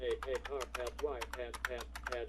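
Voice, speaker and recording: A synthetic male voice reading out text and characters as screen reader software, robotic. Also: mid-range voice, level tone, moderate pace, loud music behind the voice. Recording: dull computer speaker, typing noise.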